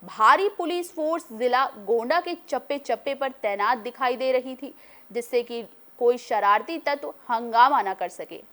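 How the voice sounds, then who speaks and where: High-pitched woman reading the news. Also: unhurried, fairly bright tone. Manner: formal bulletin delivery. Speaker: news anchor. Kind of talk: scripted news narration.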